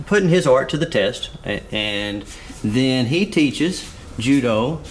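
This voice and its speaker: A man's voice: medium pitch, animated, takes it slowly, slight background noise. Easygoing middle-aged man interviewing. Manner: easygoing, many fillers and restarts. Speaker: interviewer, host. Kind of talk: casual on-camera interview. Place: indoor martial arts gym office.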